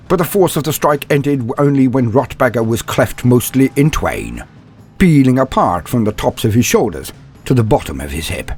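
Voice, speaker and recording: A man narrating a comedic fantasy story in a mock-epic, dramatic storytelling manner. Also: medium pitch.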